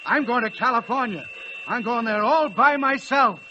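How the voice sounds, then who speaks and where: Very high-pitched giddy runaway locomotive. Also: male voice, animated, normal speed, bright, forceful tone, heavily acted. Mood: timid, determined. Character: timid male locomotive breaking free, giddy and wildly excited. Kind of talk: 1940s radio acting. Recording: noisy, dull old radio recording, busy background.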